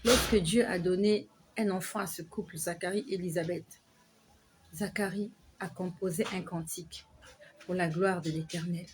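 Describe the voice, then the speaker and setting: Earnest woman preaching slowly to viewers. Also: on the low side, fairly even intonation, slight background noise. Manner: earnest, repetitive, slow emphatic phrasing. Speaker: online preacher. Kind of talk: devotional exhortation to viewers.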